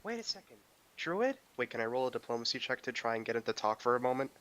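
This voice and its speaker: Guy playing tabletop rpg, bright punchy voice, blunt and exclamatory, casual chat. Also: mid-range voice, little pitch movement, very fast, clear, resonant tone. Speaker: tabletop game player.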